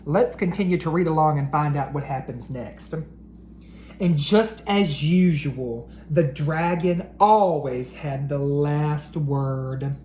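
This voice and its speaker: A woman reading a children's picture book aloud in an expressive, unhurried storyteller's voice. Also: sits low, roomy sound, a little hiss or hum.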